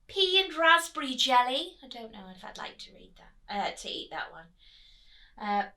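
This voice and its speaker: A woman teacher reading expressively to children at storytime, pausing to prompt them with questions. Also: high voice, bright, forceful tone.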